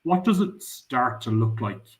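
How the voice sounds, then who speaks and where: A man's voice: medium pitch, sing-song, a little slow. A middle-aged man with an Irish accent, a startup founder talking casually in an interview, rambling with lots of "I suppose". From Ireland. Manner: rambling, frequent "I suppose" fillers.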